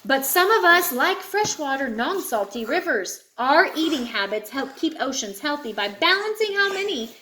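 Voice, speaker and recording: Animated high-voiced woman reading to a child. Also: moderate pace, few pauses, reverberant, a little hiss or hum, poor audio. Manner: animated, chatting to a child listener. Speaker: reader of a children's picture book. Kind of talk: read-aloud storytelling with asides.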